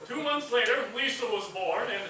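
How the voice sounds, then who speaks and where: A man's voice: very high voice, normal speed, bright, forceful tone, recorded from a distance. A man playing a clueless stand-in narrator who hesitantly fumbles through exposition in a comic stage reading. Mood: fumbling. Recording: echoey stage recording from afar, faint noise.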